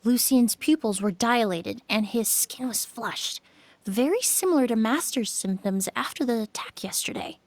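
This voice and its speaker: A woman voicing a calm, analytical female perfumer who lays out evidence in a measured way. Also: on the high side, dry recording.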